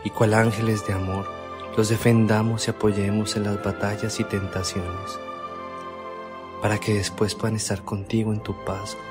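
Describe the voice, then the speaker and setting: Actor as solemn, fervent apostle in prayer. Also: male voice, lowish voice, close to an everyday voice. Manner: solemn, exalted. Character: devout male apostle praying aloud, fervent and mystical. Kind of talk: radio drama oration. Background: music.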